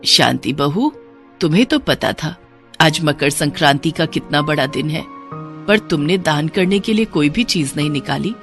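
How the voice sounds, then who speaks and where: Woman as older indian mother-in-law, pious n patient, preachy measured delivery, soft music behind. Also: deep voice, expressive. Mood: mildly reproachful. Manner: measured, earnest, moralizing.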